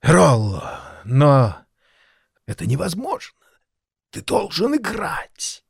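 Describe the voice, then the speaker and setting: An actor playing an excitable, sentimental elderly maestro pianist in an audio drama, theatrical and halting. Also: male voice, on the high side, vocal fry, slightly rough, dry recording, clean studio sound, full character voice. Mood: pleading.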